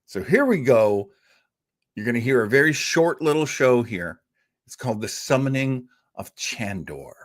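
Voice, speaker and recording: A man's voice: medium pitch, big pitch swings, moderate pace. An older man hosting an old-time radio podcast, chatty and enthusiastic with rambling asides. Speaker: podcast host. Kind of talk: casual chat.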